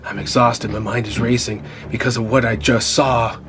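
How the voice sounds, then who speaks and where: A man's voice: mid-range voice, fairly even intonation, moderate pace. A man narrating a first-person horror story as a scripted radio play. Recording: prominent music under the voice.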